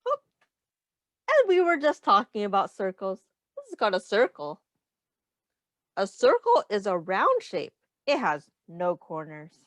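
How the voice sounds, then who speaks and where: A woman's voice: medium pitch, wildly swooping pitch, unhurried, pauses often, no echo. A woman reading a picture book aloud as a teacher, explaining simply to young children and asking them questions. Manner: simple instructive phrasing aimed at young children.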